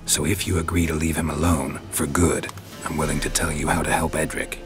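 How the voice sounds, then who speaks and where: An actor playing a stoic, blunt monster hunter in a video game, speaking in terse, deadpan, clipped sentences. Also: male voice, extremely low pitch, fairly quick, music in the background. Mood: cool, probing. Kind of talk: video game cutscene acting.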